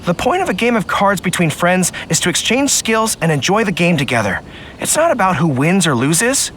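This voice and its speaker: A man's voice: high-pitched, sing-song, normal speed, a bit bright, slight background noise. A man playing a loyal, polite young general in a video game, speaking earnestly and formally.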